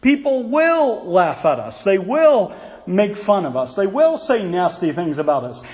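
A man's voice: sits high, sing-song, measured pace, darkish tone. A man preaching a sermon, quoting scripture earnestly and turning aside now and then to speak to the children. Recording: long hall reverb.

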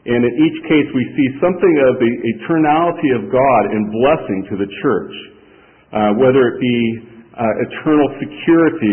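A middle-aged man from Kansas preaching a conference sermon in a folksy, plain-spoken way, slowing down to read scripture aloud. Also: medium pitch. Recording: tinny, far-off hall recording.

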